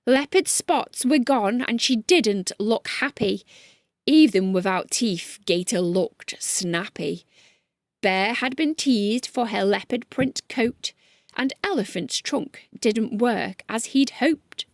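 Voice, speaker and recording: High-voiced woman reading a children's story. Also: slow, no room sound, studio quality. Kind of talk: expressive children's read-aloud narration.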